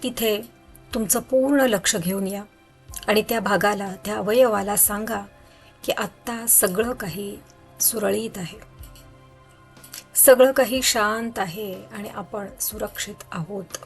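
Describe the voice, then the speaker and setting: A woman's voice: mid-range voice, gravelly. Woman guiding meditation over music. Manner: soothing, instructional, unhurried with pauses. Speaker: guided meditation host. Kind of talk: guided meditation narration. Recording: background music under voice.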